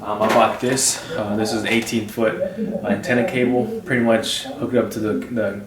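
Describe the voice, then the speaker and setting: A man hosting a DIY video in casual, off-the-cuff speech, haltingly repeating and restarting phrases as he explains. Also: fairly low pitch, fairly even intonation, a crowd in the background. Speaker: DIY vlog host. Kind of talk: casual off-the-cuff how-to talk. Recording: roomy, distant mic, clear hum noise.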